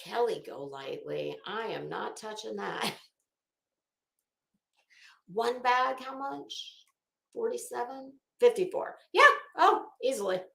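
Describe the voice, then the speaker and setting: A woman's voice: a little high, takes it slowly, vocal fry, long pauses, a bit bright, echoey room. A woman hosting a casual livestream, chatting to viewers in a rambling, opinionated, emphatic way. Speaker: livestream host.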